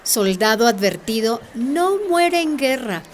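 A proud, self-assured woman mayor in a radio drama. Her voice is fairly high, assertive and formal, with faint ambient noise behind it. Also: animated, measured pace. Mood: defiant.

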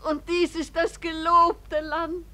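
A woman's voice: very high-pitched, little pitch movement, slow, bright, forceful tone, full character voice. A dreamy old village woman. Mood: wistful. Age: older. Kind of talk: radio play acting.

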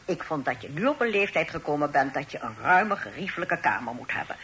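A caring mother of a grown son in a radio play, speaking with lively intonation. She is soothing but gently firm, on an old recording with light background noise. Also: deep voice, fairly quick. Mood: caring, pleased. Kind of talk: Dutch radio play acting.

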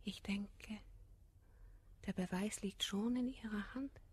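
A woman's voice: medium pitch, slow, husky. An actress playing a self-assured, coquettish society lady, poised and imperious, speaking rhymed verse in a classical radio comedy. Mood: offended.

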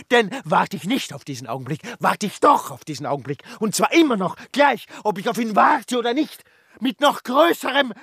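An actor playing an obsessed, despairing older man, a failed scholar, in a halting, repetitive literary radio drama monologue that swells into outbursts. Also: extremely high pitch, vocal fry, fairly bright tone, no room sound, clean studio sound, full character voice. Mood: bitter brooding, then agitated.

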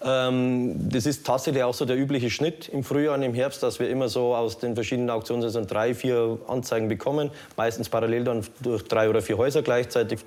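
A man's voice: medium pitch, fairly even intonation, a little fast, few pauses, a little mellow. A man explaining his work in an unscripted interview, matter-of-fact, in long rambling sentences. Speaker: police art forgery investigator interviewed.

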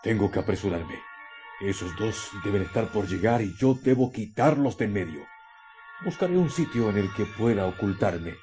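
A man voicing a sinister, scheming husband who mutters deliberately to himself in a radio play. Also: lowish voice, animated, dark tone, soft background music, natural voice. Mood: urgent scheming. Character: scheming, sinister husband plotting an ambush on Mars. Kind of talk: radio play villain monologue.

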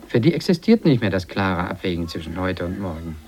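An actor playing a calculating, persuasive media executive in a radio drama, measured yet insistent. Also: male voice, deep voice, big pitch swings, creaky, darkish tone, slight background noise, heavily acted. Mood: measured, analytical. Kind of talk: 1980s German radio drama acting.

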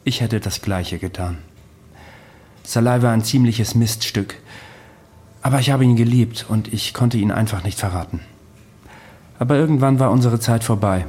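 A man's voice: medium pitch, normal speed, long pauses, darkish tone, slightly rough, lightly acted. An actor playing a dry, candid immortal witness recounting his long past in a conversational radio drama. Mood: wry, reminiscing. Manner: matter-of-fact, wry.

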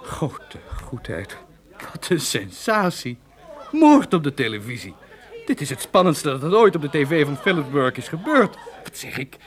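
An actor playing an excitable, opportunistic male television director in a radio play, frantic and prone to stammering. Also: a little high, moderate pace. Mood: stunned, thrilled. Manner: frantic, stammering, self-questioning. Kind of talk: Dutch radio play acting.

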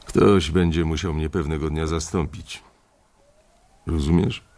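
An actor playing an older, domineering bank boss who is blunt, curt and coarse in a radio drama. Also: male voice, rumbling low, fairly even intonation, a little slow, darkish tone. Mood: persuasive. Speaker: head of a bank trading floor.

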